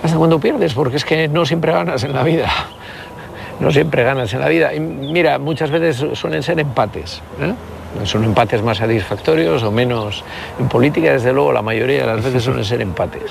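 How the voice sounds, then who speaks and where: A man's voice: mid-range voice, moderate pace, creaky, slight background noise. A man in his sixties from the Country, speaks as a politician guest in an interview, reflective and full of hesitations and restarts. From Basque Country, Spain. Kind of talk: conversational interview.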